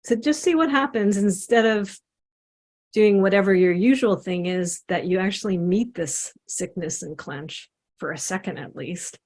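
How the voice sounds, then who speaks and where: A woman facilitating a guided self-inquiry session over a video call, speaking in a gentle, encouraging way. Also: mid-range voice, moderate pace. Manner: gentle, encouraging, drawing while talking. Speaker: satsang facilitator and coach.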